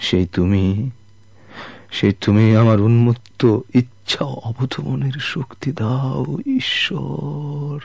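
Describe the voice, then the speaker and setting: Husky, slow-spoken, anguished lost sailor. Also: male voice, mid-range voice, steady tone, a little mellow, dry recording, heavily acted. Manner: halting, anguished delivery with repeated broken phrases. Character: long-lost sailor, worn, grieving, self-sacrificing father. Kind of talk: radio drama monologue.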